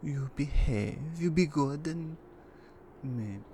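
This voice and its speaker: A woman's voice: deep voice, expressive, slight background noise, full character voice. A woman voicing a menacing female Russian mafia enforcer in an ASMR roleplay, speaking with a Russian accent and dropping into teasing whispers. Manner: slow, teasing menace with soft whispered asides. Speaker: voice actress.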